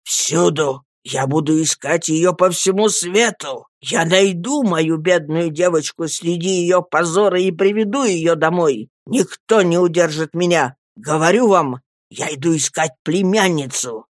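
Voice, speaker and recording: A narrator voicing a grieving old fisherman uncle in an audiobook, heavy and slow, given to fierce outcries. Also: medium pitch, dry recording, clean studio sound, lightly acted. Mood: determined outcry. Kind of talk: audiobook character acting.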